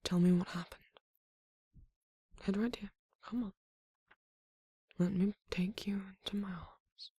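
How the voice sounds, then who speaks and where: Woman doing a courtly vampire lover for asmr roleplay, super breathy n whispery, bit of creak, really close dry sound. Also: medium pitch, fairly even intonation, measured pace, pauses often, dark tone, no echo. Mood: soothing, seductive. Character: tender, courtly vampire lover with hypnotic allure.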